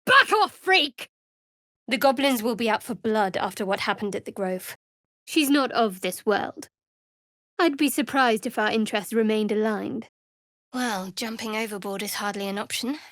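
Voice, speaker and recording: A woman playing a guarded young half-elf cleric in a fantasy video game, with a dry, sardonic, measured delivery. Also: fairly high pitch, lively intonation, fairly bright tone, no echo. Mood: alarmed, angry, then guarded, observant.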